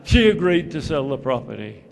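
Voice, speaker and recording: An older Australian man preaching a story in short phrases, pausing for an interpreter. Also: on the high side, big pitch swings, creaky, poor audio. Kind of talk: sermon delivered through an interpreter.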